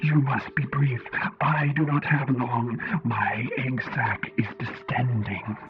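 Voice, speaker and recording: A stately alien leader from an audio sci-fi story, a tentacled creature laden with eggs. The delivery is slow, solemn and insistent, full of formal pronouncements. The filtered voice sounds dull and muffled, with music clearly behind it. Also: male voice, medium pitch, steady tone. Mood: urgent. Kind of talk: audio short story character.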